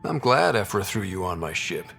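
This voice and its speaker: An actor playing a young, easygoing human explorer in a video game, casual and lightly teasing. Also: male voice, low voice, big pitch swings, normal speed, vocal fry, a bit bright, faint music behind, heavily acted. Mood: warm. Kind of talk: naturalistic video game dialogue acting.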